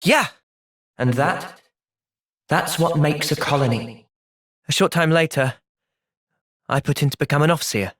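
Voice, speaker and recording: A man playing a gentle, thoughtful young soldier hero in a video game, earnest and reflective in manner. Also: fairly high pitch, measured pace, pauses often, no echo, clean studio sound. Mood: calm, earnest. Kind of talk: video game cutscene voice acting.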